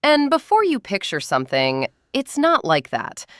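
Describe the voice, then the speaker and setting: A woman in her forties narrating a first-person story as a candid, conversational confession, with emphatic short phrases and pauses. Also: on the high side, huge pitch swings, no echo, studio quality. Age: 40s.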